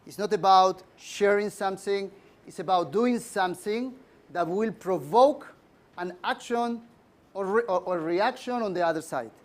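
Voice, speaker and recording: A middle-aged man from Argentina with an Argentine Spanish accent, a native Spanish speaker, giving an emphatic leadership lecture with frequent "uh" pauses. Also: sits high. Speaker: guest speaker. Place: lecture room.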